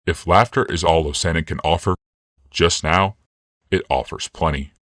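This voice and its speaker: A man voicing a smooth, amused, commanding man in a fantasy audiobook. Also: low voice, moderate pace, a bit bright, no room sound, studio quality.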